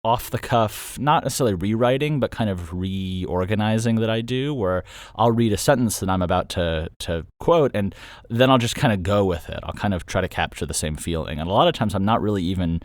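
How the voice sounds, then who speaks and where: Deep-voiced man, rambling casually. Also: a little fast, no echo. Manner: rambling, loosely thinking aloud. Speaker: audio drama creator and host. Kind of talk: casual unscripted solo update.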